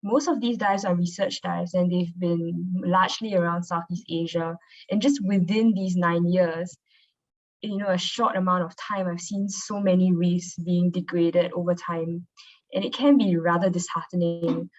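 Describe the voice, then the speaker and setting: A woman's voice: on the low side, fairly even intonation, normal speed. A young woman giving an informal, conversational presentation as a marine biologist over a video call. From Singapore. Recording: dull, lo-fi online call audio.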